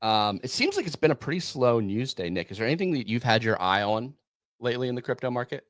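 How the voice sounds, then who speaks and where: A man's voice: mid-range voice, big pitch swings, quick pace. A man hosting a casual crypto podcast, laid-back and hesitant, with frequent ums and uhs as he talks. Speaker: podcast host.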